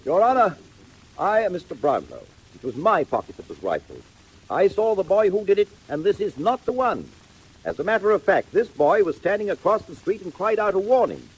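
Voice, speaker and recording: An actor playing a kindly, genteel wealthy gentleman in a 1940s audio drama, courteous, inquisitive and theatrical. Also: sits high, sing-song, fairly quick, breathy edge, full character voice, rough recording. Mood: urgent, indignant.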